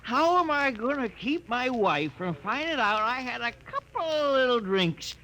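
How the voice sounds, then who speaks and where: A sloppy, pleading barfly from a vintage radio play. His slurred voice is high, bright and very animated, rambling in circles, with faint old broadcast noise behind it. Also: normal speed, heavily acted, rough recording. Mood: maudlin, pleading. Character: whiny, pleading, sloppy drunk barfly husband. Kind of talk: 1950s radio drama acting.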